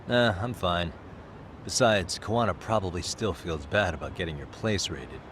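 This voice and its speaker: An actor playing a cool, confident detective in a video game cutscene, wry, blunt and challenging. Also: male voice, low-pitched, normal speed, a bit bright, slight background noise. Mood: easygoing. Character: cool, confident male private detective, dogged and sardonic.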